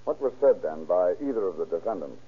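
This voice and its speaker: An actor playing a brisk, formal law officer questioning a witness in an old radio crime drama. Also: male voice, sits low, expressive, husky. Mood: interrogating. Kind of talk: 1930s-40s radio crime drama acting. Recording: muffled, scratchy old-time radio recording.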